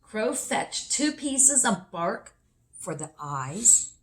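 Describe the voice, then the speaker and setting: A woman reading a picture book aloud expressively for children, with deliberate emphasis. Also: mid-range voice, very animated. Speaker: children's story reader.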